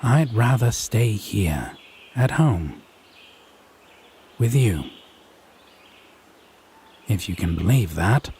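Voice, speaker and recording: A man playing a haughty, teasing, possessive fae in a scripted audio roleplay, speaking in wry, theatrical, deliberate phrases. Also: fairly low pitch, lively intonation, a little mellow, a little hiss or hum, full character voice. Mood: softer, earnest.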